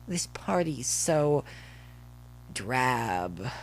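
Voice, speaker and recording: A man's voice: mid-range voice, sing-song, very slow, creaky, slight background noise, heavily acted. A man voicing a clingy, pushy male pony suitor in a story, drawing his words out suggestively. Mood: wheedling, suggestive.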